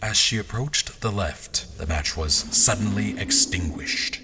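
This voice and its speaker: Man playing a deep-voiced, formal fantasy adventurer. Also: background noise. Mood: measured, brooding. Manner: formal, deliberate, storyteller delivery. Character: royal adventurer recounting his journey, formal, measured, wry. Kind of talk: first-person scripted narration in an audio drama.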